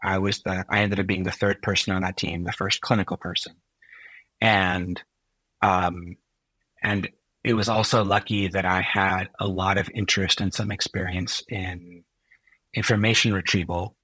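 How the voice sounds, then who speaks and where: Deep, husky-voiced middle-aged man. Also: pushed and bright, dry recording, poor audio. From Chile. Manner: thoughtful, unhurried, often pausing to find words. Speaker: interview guest, physician and technologist. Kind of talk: reflective career storytelling.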